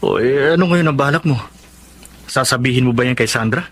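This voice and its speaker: An actor with a Filipino accent playing a teasing, inquisitive workmate in a radio drama. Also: male voice, mid-range voice, a little fast, a bit bright, lightly acted. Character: nosy, joking male workmate. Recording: faint background noise.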